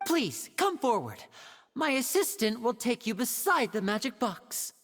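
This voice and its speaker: A man's voice: very high-pitched, very animated, unhurried, fairly bright tone, faint music behind, exaggerated voice. An actor voicing a flamboyant, playful and confident young male stage magician in a video game, with polished, teasing theatrical patter. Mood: gracious showmanship.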